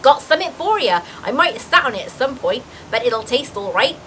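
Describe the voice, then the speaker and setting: A woman's voice: fairly high pitch, sing-song, fairly quick, fairly bright tone. A woman voicing a friendly, blunt giant man with folksy speech in a storybook reading. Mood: friendly, warm. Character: gruff, friendly giant man with a rustic way of talking. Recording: hissy background noise.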